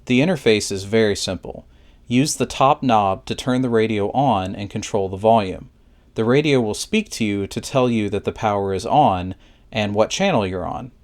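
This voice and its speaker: A man's voice: fairly low pitch, moderate pace, darkish tone. A man narrating a scripted product review in a plain, methodical, instructional way.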